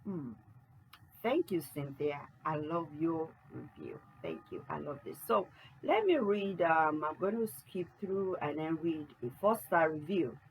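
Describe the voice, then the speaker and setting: A woman who writes books, talking casually to camera in an unhurried way and reading reviews aloud. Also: low voice, expressive, slight background noise, low-quality recording. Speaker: author and book channel host.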